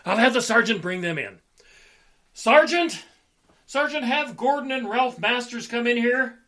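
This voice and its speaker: An actor playing a brisk, practical police lieutenant in an old-time radio drama. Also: male voice, very high-pitched, expressive, bright, forceful tone, heavily acted. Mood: businesslike.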